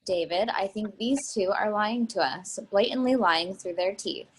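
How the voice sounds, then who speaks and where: A woman's voice: mid-range voice, moderate pace, a little hiss or hum, close to an everyday voice, low-quality recording. A woman acting a suspicious, snobbish middle-aged mother in a play reading, cutting and condescending. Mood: icy hostile.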